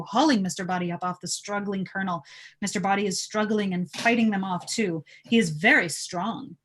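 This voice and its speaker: A woman's voice: mid-range voice, slight background noise. A woman narrating stage directions at a live table read of a film script.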